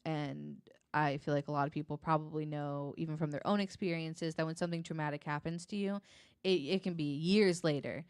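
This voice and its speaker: A woman with a low-pitched voice tells a story as a podcast guest. Her delivery is conversational and a little hesitant, with pauses as she picks up the thread. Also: fairly even intonation, a little mellow, smooth. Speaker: podcast guest telling a true-crime case.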